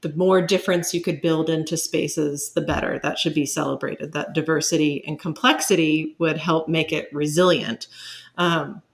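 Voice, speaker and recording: A woman's voice: low-pitched, fairly even intonation, normal speed, creaky. A woman speaking as an expert guest in a conversational interview, explaining thoughtfully and building her points step by step.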